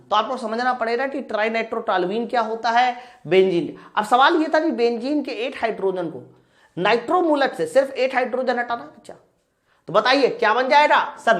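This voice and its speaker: A woman teaching an exam-prep class, posing questions, answering them herself and repeating key points. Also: on the high side. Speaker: science teacher. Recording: echoey room sound.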